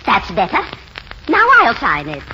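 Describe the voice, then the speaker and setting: An actress playing an imperious, indignant society wife in a 1940s radio drama. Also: female voice, medium pitch, wildly swooping pitch, a little slow. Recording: dull, very noisy old radio recording.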